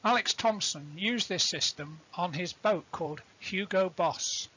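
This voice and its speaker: A man giving an explanatory lecture as an instructor, deliberate and didactic, defining terms as he goes. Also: sits high, sharp tone, breathy edge, lo-fi sound. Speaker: instructor presenting a sailing tutorial.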